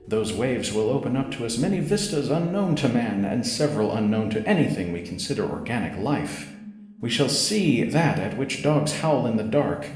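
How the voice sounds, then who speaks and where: A mad scientist from a horror tale, acted out by a male reader, lecturing in an excited, pedantic way with feverish grandeur. His voice is pitched up and rings with a strong echo. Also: lightly acted. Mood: fervent, exalted. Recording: echoing reverberant voice.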